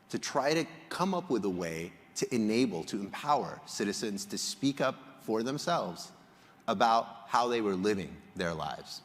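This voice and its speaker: A man from Egypt giving a prepared public presentation as a researcher, explaining in a measured way with emphatic phrasing. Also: lowish voice. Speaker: conference speaker, polling researcher. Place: large indoor hall, on stage. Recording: reverberant hall sound.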